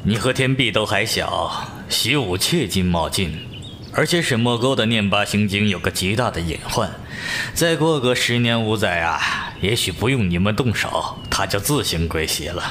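An actor playing a seasoned, urbane middle-aged martial-arts master in a wuxia audio drama, speaking in a measured, avuncular, theatrical way. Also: male voice, fairly low pitch, lively intonation, a bit bright, slight background noise. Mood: reflective. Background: music.

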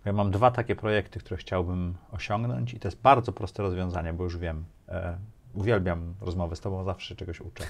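A man in his forties with a low voice full of vocal fry. He speaks as an interview host, casually putting short questions to his guest. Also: steady tone, fairly quick. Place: small furnished indoor studio, seated.

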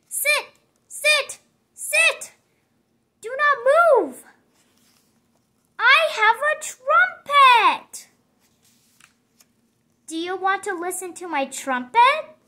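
Storybook piglet, played by a woman: eager, insistent, emphatic, very high and bright. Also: big pitch swings, very slow, long pauses, heavily acted. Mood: excited, bossy. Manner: exclamatory, emphatic. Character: excitable, insistent young pig, eager to perform.

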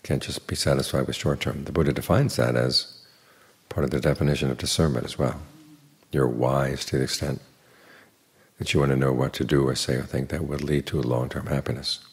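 Very deep gravelly older male monk. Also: steady tone. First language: English. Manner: plain, unhurried teaching with dry humor. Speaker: Buddhist monk giving a dharma talk. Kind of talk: evening dharma talk.

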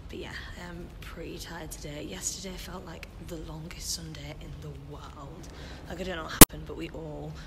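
A woman's voice: level tone, normal speed, hardly any pauses. A woman in her twenties chatting casually and informally to a camera held at arm's length as she goes about her day. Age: 20s. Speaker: vlogger and pantomime performer. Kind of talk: casual vlog chat to camera. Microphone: phone or camera at arm's length. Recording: handheld vlog, background ambience and traffic rumble.

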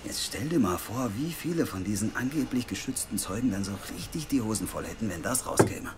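An actor playing a driven, persuasive investigative team leader in an audio drama, casual yet insistent. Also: male voice, on the low side, lively intonation, brisk, over music, close to an everyday voice. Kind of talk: naturalistic German audio drama acting. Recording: clearly noisy background.

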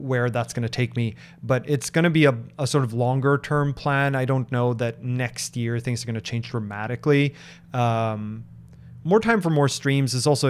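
A man in his thirties from Norway, answering viewer questions in a casual live stream, thoughtful and halting, with lots of fillers and restarts, speaking into a desk microphone in a home office. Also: medium pitch, clean tone. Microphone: large microphone on desk in front.

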